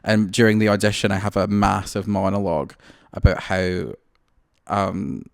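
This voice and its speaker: Late-20s Scottish man, low-pitched, dry close mic, loose and self-mocking podcast co-host. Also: fairly even intonation, moderate pace, gravelly, no echo. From Scotland. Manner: rambling, joking, self-deprecating. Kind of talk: casual chat.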